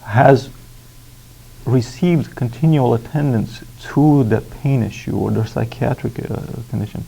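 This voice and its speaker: A man with a gravelly, dark voice of medium pitch answers as an expert. His explanations are formal and deliberate, broken by halting pauses. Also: poor audio. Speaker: expert interviewee. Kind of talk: explanatory interview.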